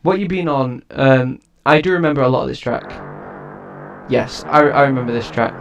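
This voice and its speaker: A man's voice: mid-range voice, moderate pace, gravelly, soft background music. A man giving a casual spoken review of an album, rambling and often restarting his sentences. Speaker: music reviewer and producer.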